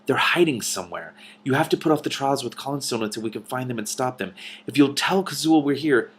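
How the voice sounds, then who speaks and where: A man's voice: medium pitch, expressive, fairly quick, husky, pitched above the performer's own voice. A man voicing a bold young princess in a storybook, speaking in an earnest, insistent and pleading way.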